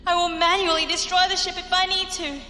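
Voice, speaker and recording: A woman's voice: extremely high pitch, little pitch movement, fairly quick. A woman playing a defiant, fanatically loyal starship villain in a sci-fi audio drama. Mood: bitter resolve. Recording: echoey reverberant hall, faint noise.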